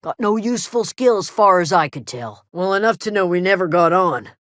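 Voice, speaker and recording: A woman playing a gruff, guarded frontier settler who watches over a camp, terse and plain-spoken, in a video game. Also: lowish voice, expressive, moderate pace, a bit bright, no room sound, clean studio sound. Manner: terse, wary, plain-spoken with folksy drawn phrasing. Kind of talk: video game character dialogue.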